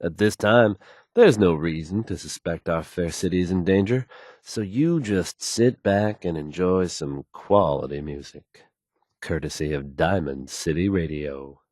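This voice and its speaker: A man's voice: low voice, measured pace, darkish tone, no echo, studio quality. An actor playing a confident, folksy male radio DJ in a video game, delivering news in suave showman's patter. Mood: confident, reassuring. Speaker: radio DJ character.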